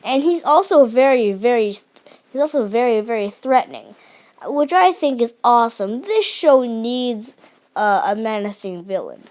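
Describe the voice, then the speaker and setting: Young female reviewer, eager and unpolished, slightly high pitch, lots of restarts. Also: expressive, measured pace, a little mellow. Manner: enthusiastic, many restarts and fillers. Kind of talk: unscripted video review.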